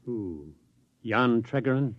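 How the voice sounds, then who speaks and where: A philosophical friend with a medium-pitched voice. He is measured, wry and gently skeptical, in the style of old-time radio drama. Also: expressive, dry recording, lo-fi sound. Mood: worried. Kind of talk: 1950s radio drama acting.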